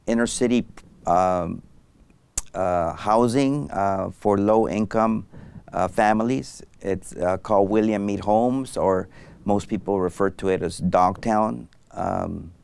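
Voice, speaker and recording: Middle-aged guy from mexico, real deep clean voice, lapel mic, kinda halting and thoughtful. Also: creaky, a little mellow, smooth. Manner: thoughtful, halting with many fillers and restarts. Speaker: anesthesiologist guest. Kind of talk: interview conversation. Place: studio set with armchairs.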